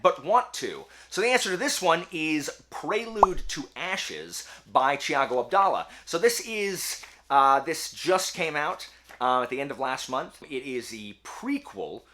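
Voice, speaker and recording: A man talking casually as the host of a book review channel, with a slangy, self-deprecating, animated manner. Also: mid-range voice. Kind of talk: casual chatty monologue to camera.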